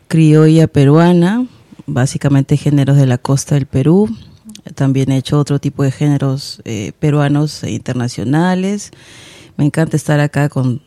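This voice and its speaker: A woman guitarist with a Peruvian accent, introducing herself on the radio in a relaxed way with hesitant fillers. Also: very deep voice, dry recording. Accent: Peruvian Spanish. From Peru. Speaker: guitarist guest.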